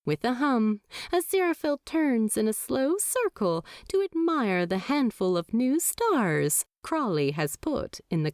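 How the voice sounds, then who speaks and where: Woman audiobook narrator, slow lively reading, dry close mic. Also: on the high side, dry recording. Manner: expressive, measured reading. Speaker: fanfiction narrator.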